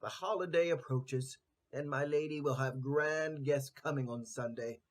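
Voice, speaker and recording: A man's voice: medium pitch, a little slow, lightly acted. A man voicing a mournful, indignant old rooster in a storybook tale, with a dramatic wailing delivery. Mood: despairing. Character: despairing old male rooster, loud and indignant.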